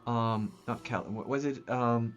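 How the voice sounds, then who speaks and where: A man's voice: mid-range voice, fairly even intonation, takes it slowly. A young man from Austria, a native German speaker with an Austrian accent, chatting on a live stream while building a map. He thinks aloud with many ums and restarts. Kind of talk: casual live-stream chat. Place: small room at a desk, indoors. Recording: slightly dull sound, faint music.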